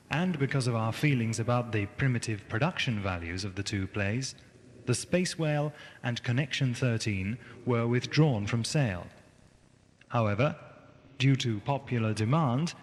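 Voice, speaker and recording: Casting: male announcer for a scripted intro, medium pitch, slightly noisy old tape sound. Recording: faint hiss on old cassette.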